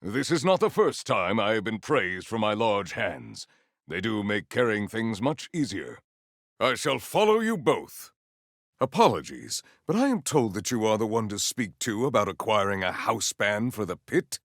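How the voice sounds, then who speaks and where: Deep, deliberate warrior. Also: male voice, sing-song, a bit bright, no echo, clean studio sound, heavily acted. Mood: grave, composed. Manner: formal, measured, ceremonious diction, few contractions. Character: stern formal male warrior, proud, battle-hungry, grave and eloquent. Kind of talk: video game character voice lines.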